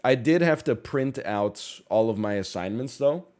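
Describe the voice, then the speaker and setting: A young man from Canada chats on a livestream, his voice slightly congested. He rambles through stories, corrects himself mid-sentence and throws in jokey asides. His pitch sits in the middle range for a man. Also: animated, unhurried, a little mellow. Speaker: streamer. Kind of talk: casual chat.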